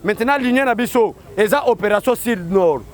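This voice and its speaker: A man with a Congolese accent giving a rousing street speech to supporters, shouting out calls for the crowd to answer. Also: high-pitched, lively intonation, brisk. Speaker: political activist addressing supporters. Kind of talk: rally speech with call-and-response.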